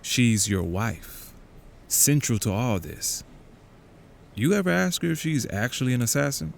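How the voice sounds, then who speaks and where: A man's voice: medium pitch, lively intonation, a little slow, vocal fry, a little hiss or hum. A man playing a laconic, probing confidant in an audio drama, speaking in terse, clipped phrases. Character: laconic, probing male confidant who follows conspiracies. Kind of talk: audio drama, conversational dialogue.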